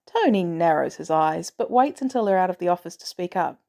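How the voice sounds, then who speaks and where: A woman's voice: on the low side, animated, a little fast, gravelly, a little mellow. A woman reading a scripted story aloud as a narrator. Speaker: podfic reader. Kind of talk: scripted narration of fan fiction.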